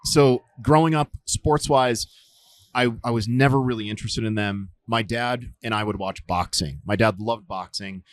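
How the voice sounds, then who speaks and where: A man in his forties, a survival instructor, telling stories in a relaxed interview, with lots of fillers and restarts. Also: fairly low pitch, moderate pace, dry recording. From United States. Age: 40s. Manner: rambling, many fillers and restarts. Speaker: podcast guest, survival instructor. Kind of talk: casual storytelling interview.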